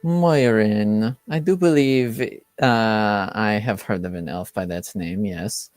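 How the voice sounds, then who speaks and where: Man acting as composed informant, tabletop game, lowish pitch, slow measured delivery, formal, detached, faint music behind. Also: darkish tone, dry recording, studio quality. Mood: polite, businesslike. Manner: measured, hesitant pauses, formal wording. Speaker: game master. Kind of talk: tabletop roleplay dialogue.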